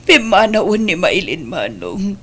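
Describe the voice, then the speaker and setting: A woman playing a worried, sorrowful middle-aged mother in a Filipino radio drama, pleading and blaming herself in a husky voice. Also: mid-range voice, sing-song, takes it slowly, a bit bright, exaggerated voice. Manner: pleading, lamenting.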